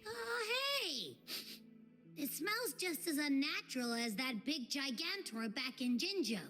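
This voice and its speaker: A woman's voice: high-pitched, big pitch swings, a little slow, a bit bright, soft background music, heavily acted. A woman voicing a small, grumpy creature companion in a video game, brash and whiny in manner. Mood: surprised, curious. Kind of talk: video game character acting.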